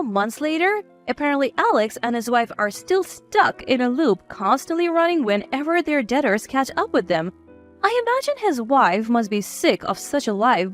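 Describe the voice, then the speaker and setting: A woman narrates a family drama as a scripted first-person story. Her voice is notably high-pitched, and faint music plays behind her.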